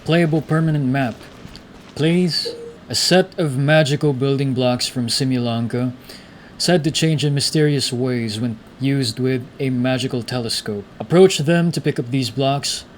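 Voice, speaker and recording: A man giving informal, slangy playthrough commentary and reading game text aloud. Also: mid-range voice. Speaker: gaming content creator. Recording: faint background noise from game.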